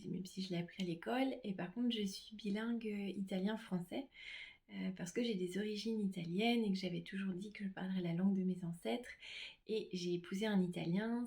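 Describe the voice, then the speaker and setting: A middle-aged woman, a reflexologist, talking casually about herself to her clients in a gentle, hesitant way with many pauses. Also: mid-range voice. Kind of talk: casual self-presentation to clients.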